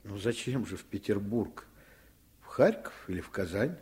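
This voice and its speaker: A man's voice: fairly low pitch, expressive, normal speed, darkish tone, husky. A man playing an earnest, stubborn, caring middle-aged doctor in a Soviet radio play, speaking with emphasis. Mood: persuasive.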